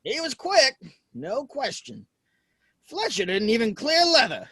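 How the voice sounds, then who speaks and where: A man's voice: very high voice, huge pitch swings, a little slow, bright, forceful tone, breathy edge, no room sound, clean studio sound, exaggerated voice. A man acting an earnest, plain-spoken American cowboy in a Western audio play, shy but willing to stand up for himself, with folksy, hesitant talk. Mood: friendly.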